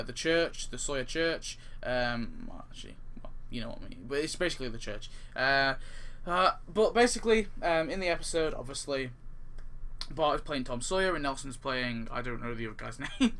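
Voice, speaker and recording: A man's voice: fairly high pitch, sharp tone, a little hiss or hum. A man giving casual, off-the-cuff gaming commentary in a rambling way, often correcting himself mid-sentence. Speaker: gaming video creator. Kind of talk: casual off-the-cuff commentary on game update ideas.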